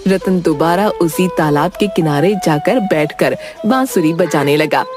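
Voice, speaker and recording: A woman's voice: lowish voice, lively intonation, a little slow, over music. A woman narrator reading a scripted story in storytelling style.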